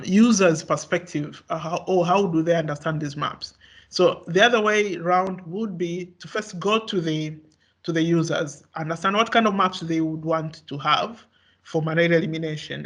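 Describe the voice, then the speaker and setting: A young man whose first language is Swahili gives an academic research talk, halting often with fillers and restarts. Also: a little high, fairly bright tone. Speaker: researcher presenting.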